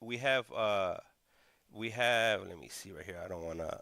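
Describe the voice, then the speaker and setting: A low-voiced man hosts a casual live stream. His voice is very bright and forceful, and he talks haltingly, repeating words and stammering as he goes. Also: slight background noise. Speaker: host of a call-in stream.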